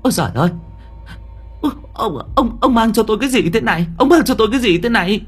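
A man's voice: very animated, measured pace, a bit bright, slightly rough, soft background music, full character voice. A man voicing a frightened old village woman who shrieks and pleads. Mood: terrified. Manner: high-pitched cries, panicky.

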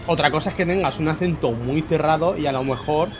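A man's voice: a little high, brisk. A young man co-hosting a casual podcast, telling blunt anecdotes and swearing freely. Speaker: podcast co-host. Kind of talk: casual chat. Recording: music playing underneath.

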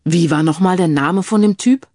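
A woman's voice: lowish voice, moderate pace, warm tone, no echo, close to an everyday voice. A woman reading the part of a keen younger male IT colleague in an audiobook, dry and matter-of-fact. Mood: curious. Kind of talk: audiobook character dialogue.

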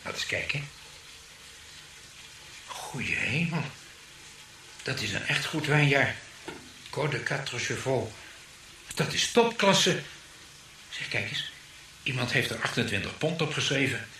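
A politely ingratiating husband with a disdainful, snobbish streak in a radio drama. He sounds roomy and echoing, over clear noise. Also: medium pitch, expressive, takes it slowly, pauses often, sharp tone. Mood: astonished. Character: two-faced husband, politely ingratiating, privately disdainful wine snob. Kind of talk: radio play acting.

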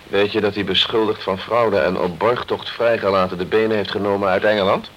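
A man's voice: lowish voice, fairly even intonation, fairly quick, fairly bright tone, lo-fi sound, slight background noise, natural voice. An actor playing a determined, blunt British man in a crime radio play, speaking tersely, earnestly and insistently. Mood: urgent. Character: determined, blunt British man fighting to clear an accused friend.

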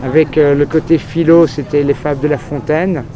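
A man's voice: on the high side, steady tone, moderate pace, low-quality recording. A man from France talking frankly in a street interview, rambling through a long story with many fillers. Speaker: journalist. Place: outdoors on a busy city street. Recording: crowd noise outdoors. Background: crowd and traffic.

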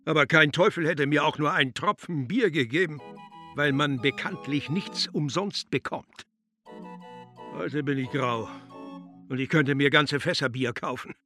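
Grumbly old carpenter near seventy, high bright voice, plaintive, radio play with music. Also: male voice, a little slow. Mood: wistful grumbling. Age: 60s. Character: grumbling grey-haired carpenter, nearly seventy, mourning his beer mug.

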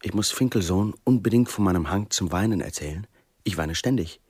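A man's voice: low voice, expressive, fairly quick, darkish tone, slightly rough, dry recording, studio quality. An actor playing a sensitive, brooding middle-aged man in a radio play. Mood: confiding, melancholy. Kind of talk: radio play acting and monologue.

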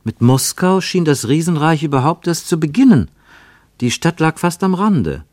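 An actor narrating a literary radio play in a measured, precise, gently ironic manner. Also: male voice, fairly high pitch, big pitch swings, dry recording, clean studio sound. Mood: measured, wry. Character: literary narrator of a Cold War journey, wry, measured.

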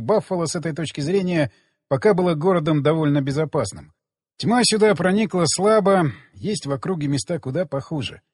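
A man's voice: fairly high pitch, slightly rough, long reverb tail. A man reading first-person fiction as an audiobook narrator, in a scripted storytelling style.

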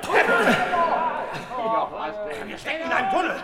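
An actor playing a rough, cornered outlaw calling out coarsely in a western radio play. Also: male voice, extremely high pitch, very animated, a little slow, heavily acted. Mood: panicked. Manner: agitated, coarse, loudly calling out. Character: rough, cornered train robber in a mob. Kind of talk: 1970s German radio play acting. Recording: echoey tunnel scene with shouting crowd.

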